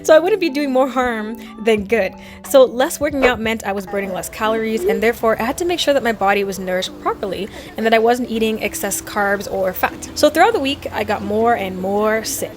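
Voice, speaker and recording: A young woman chatting through a fitness vlog voice-over, telling a story with quick self-deprecating laughs. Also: a little high, animated, over music. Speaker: fitness vlogger. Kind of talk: voice-over narration.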